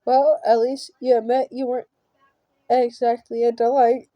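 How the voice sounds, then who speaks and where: Sarcastic store clerk, mellow woman reading. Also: a little high, flat delivery, moderate pace, no room sound, muffled recording, natural voice. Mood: dry. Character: sarcastic, exasperated young video store clerk. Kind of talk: reading visual novel dialogue aloud.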